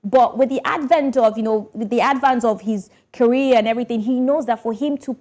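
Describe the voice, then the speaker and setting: A woman's voice: fairly high pitch, brisk, darkish tone. A woman, an entertainment presenter speaking passionately and persuasively on a panel. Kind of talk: opinionated panel discussion.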